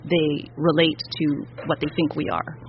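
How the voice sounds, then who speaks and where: A woman's voice: low-pitched, flat delivery, normal speed, background noise. Thoughtful Canadian woman, muffled radio audio. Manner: thoughtful, frequent hesitations. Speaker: novelist interviewee. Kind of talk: conversational interview answers.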